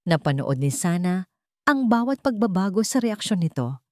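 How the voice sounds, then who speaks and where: A woman with a Filipino accent narrating a scripted dramatic story in a measured, solemn way. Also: lowish voice, very animated, dry recording, studio quality.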